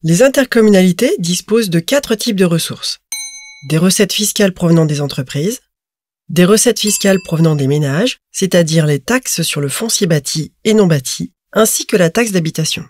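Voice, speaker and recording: A woman giving scripted narration for an explainer video, in a clear, measured, informative manner. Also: fairly low pitch, expressive, darkish tone.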